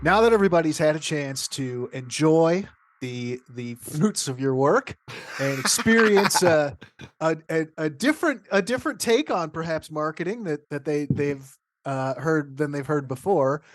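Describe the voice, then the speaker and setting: A man's voice: fairly high pitch, expressive, normal speed. A man hosting a casual interview, appreciative and hesitant, repeating words as he forms questions. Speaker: podcast host.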